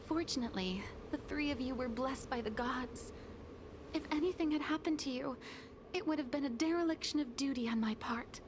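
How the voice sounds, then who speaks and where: High-voiced, composed scheming maid villainess acted by a woman. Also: brisk, close to an everyday voice. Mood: polite, concerned. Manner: composed, formal, polite. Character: treacherous maid, outwardly deferential, coldly scheming villainess. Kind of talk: video game voice acting. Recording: noisy game capture with ambience.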